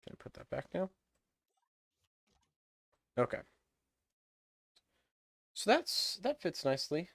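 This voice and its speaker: A man's voice: a little high, sing-song, slow pace, vocal fry, long pauses, a bit bright, no room sound, clean studio sound. A young man hosting a game livestream, chatting casually and thinking aloud with lots of fillers, his nose stuffed up and sniffling. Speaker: livestream host. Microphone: desk microphone.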